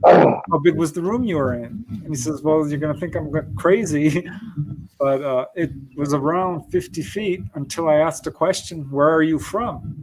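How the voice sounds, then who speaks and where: A man's voice: on the high side, moderate pace, a little mellow, a little hiss or hum, low-quality recording. A man speaking as a guest researcher, answering conversationally with anecdotes in an interview. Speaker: guest UFO researcher and author.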